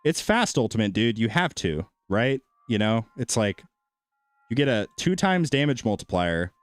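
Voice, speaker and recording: Laid-back man with a low, soothing voice. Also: clear, resonant tone, no echo. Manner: laid-back, thinking aloud, chatty with viewers. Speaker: game streamer. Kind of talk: casual gameplay commentary with chat.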